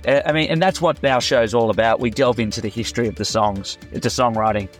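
A man's voice: mid-range voice, quick pace, soft background music. A man answering interview questions in a chatty, self-deprecating way, with fillers. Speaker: tribute performer and former music teacher.

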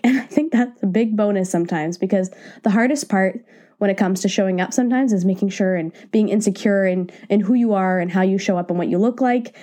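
A woman's voice: medium pitch, mellow. A woman host with a cold, slightly nasal and stuffed up, chatting casually and encouragingly on her podcast from her home office. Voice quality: a little nasal. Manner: chatty, encouraging, self-deprecating. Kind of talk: casual solo podcast talk. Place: home office, sitting on the floor.

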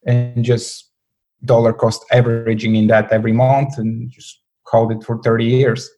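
A man from Bulgaria, a portfolio manager answering interview questions in a hesitant way, with many pauses and restarts. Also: medium pitch, little pitch movement, warm tone, faint music behind, poor audio.

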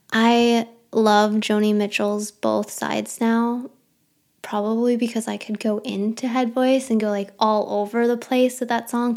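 A woman's voice: mid-range voice, a little mellow. A young adult woman hosting her own podcast, chatting casually and rambling through listener questions with a self-deprecating touch. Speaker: podcast host.

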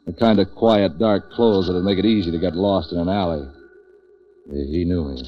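An American man playing a cynical, street-wise waterfront hustler in deadpan 1940s radio noir style. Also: deep voice, normal speed, faint music behind, natural voice. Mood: wry, world-weary. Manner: hard-boiled, deadpan wisecracking. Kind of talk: 1940s radio noir acting, first-person narration. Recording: dull, lo-fi 1940s radio recording.

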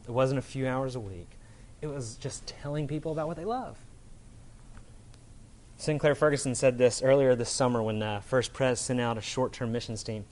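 Male pastor, medium pitch, informal conversational sermon delivery, colloquial phrasing, recorded with audible background noise. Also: normal speed, a little mellow. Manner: casual, colloquial, checks in with "right?". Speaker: pastor preaching.

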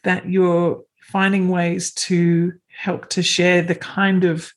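Gentle, encouraging interviewer. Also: mid-range voice, flat delivery, slow. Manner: gentle, encouraging, rambling questions. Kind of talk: interview questions.

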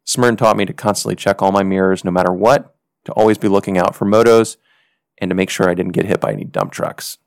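A man's voice: sits low, animated, vocal fry, no echo, studio quality. A man hosting a podcast, telling a personal story in earnest, reflective scripted narration. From United States. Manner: earnest, reflective, measured delivery. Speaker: podcast host.

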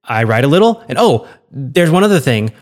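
A man's voice: medium pitch, very animated, brisk, lightly acted. A man voicing an eager, slightly nervous engineer in a job interview story.

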